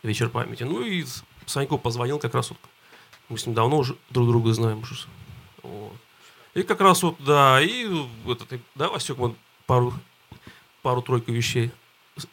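A male band member telling a rambling story with many fillers and restarts. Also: medium pitch. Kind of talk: casual storytelling.

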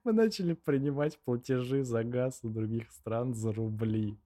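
A young man with a slightly hoarse voice, chatting casually as a podcast co-host in slangy, sweary, rambling talk. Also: mid-range voice, moderate pace, mellow, dry recording, studio quality. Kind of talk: casual chat with heavy swearing.

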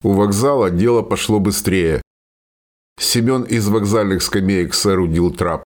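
A man with a fairly low voice narrates a war story. The delivery is scripted storytelling for a radio play. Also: unhurried, darkish tone.

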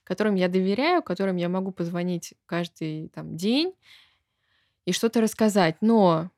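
A woman's voice: on the low side, moderate pace, a little mellow, no echo, studio quality. A woman in her twenties chatting casually as a guest on a podcast. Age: 20s. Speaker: podcast guest, small shop owner. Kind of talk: casual interview chat.

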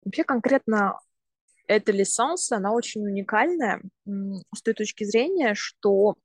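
A woman in her twenties who grew up in Russia talks through her studies as a podcast guest. She is thoughtful and explains step by step, often stopping to rephrase. Her voice sits at a middle pitch and is recorded dry and close, with no room sound. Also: lively intonation, normal speed, dry recording. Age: 20s. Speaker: podcast guest, university student. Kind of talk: casual explanatory talk.

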